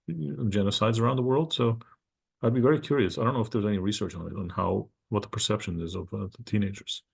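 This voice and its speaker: A man's voice: fairly low pitch, creaky, a little mellow, slightly rough. A man in the audience of an online panel asking a thoughtful, hesitant question over a video call.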